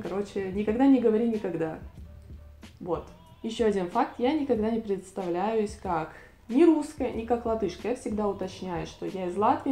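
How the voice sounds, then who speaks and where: A woman's voice: medium pitch, warm tone, echoey room. A woman in her twenties from Latvia, chatting casually and self-ironically on a talking-head vlog with a lapel mic. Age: 20s. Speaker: vlogger. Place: living room with bookshelf, indoors.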